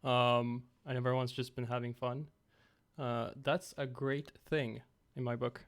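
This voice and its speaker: A young man hosting a casual game-creation livestream from home on a headset, slightly raspy after being ill. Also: medium pitch, unhurried. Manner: relaxed, rambling, talks to chat.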